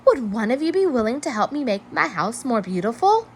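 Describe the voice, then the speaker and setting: A woman voicing an earnest, gentle hermit crab in a children's storybook, with expressive, sweetly emphatic delivery. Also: on the high side, brisk, a little hiss or hum, voice pushed up. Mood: admiring, hopeful.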